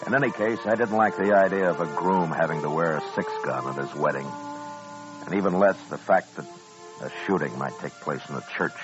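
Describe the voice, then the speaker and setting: An actor playing a steady, firm marshal of the Old West in a radio western, speaking in a measured, plain way. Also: male voice, sits low, lightly acted. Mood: grave narration. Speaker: radio drama lead actor and narrator. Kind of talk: 1950s radio western acting. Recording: dull old radio recording with music.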